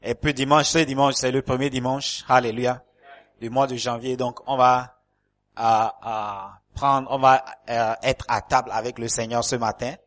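A male pastor preaches in a church hall, with a voice of ordinary middle pitch for a man. He speaks French as a second language and stumbles through fillers and repeated words. Calls of alleluia punctuate nearly every phrase as he rallies his congregation. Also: little pitch movement, normal speed, a bit bright. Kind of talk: sermon.

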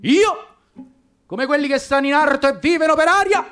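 A man voicing a shrill, haughty female eagle, queen of the birds, with a Roman accent. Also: moderate pace. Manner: shrill, shouting. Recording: guitar music behind.

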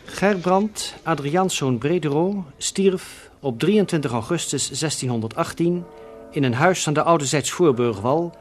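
A man narrates a radio drama in a measured, formal way. His voice sits a little high. Soft guitar music plays underneath. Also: expressive.

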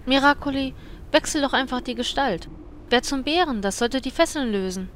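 A sensible, vain sorceress, played by an actress in a comedic fantasy audio drama. Her voice is on the high side, with faint noise in the background. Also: lively intonation, moderate pace, a bit bright. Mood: matter-of-fact. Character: sensible but vain female fire mage. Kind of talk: comedic fantasy radio-play acting.

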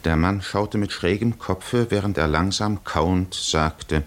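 An actor as the solemn storyteller of a 1950s radio drama, narrating a literary tale in a measured, deliberate way. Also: male voice, sits low.

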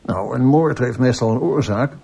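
A man plays a composed detective inspector in a radio play. He has a mid-pitched, husky voice and speaks formally, probing methodically with his questions. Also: moderate pace, warm tone. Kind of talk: radio drama acting.

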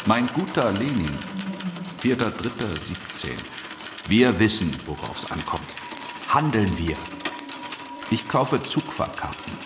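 Radio drama, male: scheming, confident political operator, clipped and urgent, low register, echoing room. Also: big pitch swings, takes it slowly, long pauses, noisy background. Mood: brisk, smug. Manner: clipped, brash, self-assured. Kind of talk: German radio play acting. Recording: echoey hall, long reverb.

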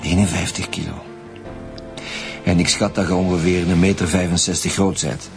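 An actor with a Flemish accent playing a sceptical, methodical police commissioner in a radio drama. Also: male voice, low voice, little pitch movement, normal speed, vocal fry, over music. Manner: probing, matter-of-fact.

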